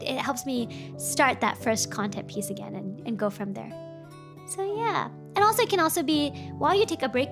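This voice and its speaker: Chatty woman streamer, slightly broken voice. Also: fairly high pitch. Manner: rambling, chatty, answers chat. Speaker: VTuber streamer. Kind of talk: casual chat stream. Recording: faint music behind.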